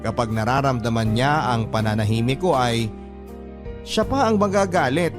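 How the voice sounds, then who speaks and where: A man narrating a radio drama in the first person as a resigned, long-suffering partner, in measured storytelling. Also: medium pitch, lightly acted. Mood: resigned, reflective. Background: music.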